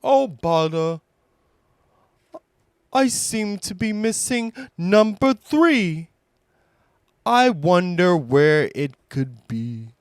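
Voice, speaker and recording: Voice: mid-range voice, very animated, drawn-out words, long pauses, smooth, no echo, clean studio sound, voice pushed up. A narrator voicing a naive, hopeful male bear who loves honey, in a children's storybook. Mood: puzzled.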